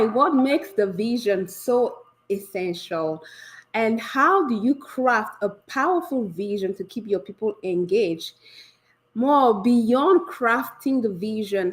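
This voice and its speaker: A woman leadership coach hosting a live session, slightly raspy from a developing cold, talking with eager, encouraging energy and lots of questions. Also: mid-range voice, poor audio. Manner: energetic, warm and encouraging, asks many questions. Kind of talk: enthusiastic live coaching talk.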